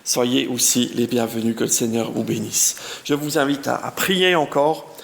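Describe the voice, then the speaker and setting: Brief: Swiss German-accented male pastor, 50s, genial and chatty, gravelly voice, middle pitch. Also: moderate pace, slight background noise. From German-speaking part of canton of Bern, Switzerland. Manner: genial, chatty, self-deprecating, reads scripture with lively emphasis. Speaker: guest pastor. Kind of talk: sermon with Bible reading.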